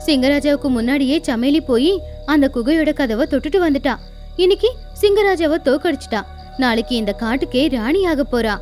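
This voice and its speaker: A woman narrating an animated fable for children as a storyteller. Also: high voice, normal speed, faint music behind.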